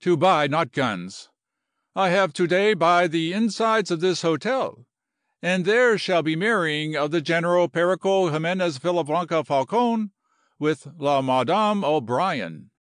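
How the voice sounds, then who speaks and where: A man voicing an excitable, romantic Colombian general with a Spanish accent and flowery broken English. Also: on the high side, measured pace, no room sound, studio quality, voice pushed up. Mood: earnest, lovestruck.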